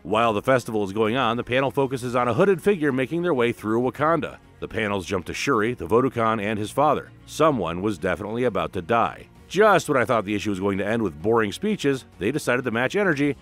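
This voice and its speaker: A man's voice: mid-range voice, very animated, fast, few pauses, fairly bright tone, soft background music. A man narrating a scripted comic book recap with informal commentary in a dramatic, emphatic way.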